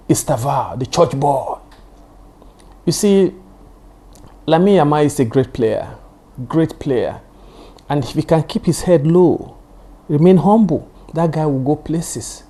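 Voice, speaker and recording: A man's voice: fairly high pitch, warm tone. A man, Yoruba-speaking, hosting his own show and giving chatty, digressive football analysis. He repeats his points for emphasis and leans on "you know.". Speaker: football pundit and show host. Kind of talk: casual solo football analysis.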